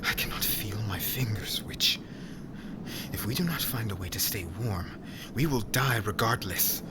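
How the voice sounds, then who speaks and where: A man playing a gruff, guarded witch hunter in an ASMR roleplay, stern and commanding in stilted formal phrases, often breathy and near a whisper. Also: lowish voice, animated, measured pace, heavy background noise. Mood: grim, stern. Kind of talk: ASMR roleplay audio drama.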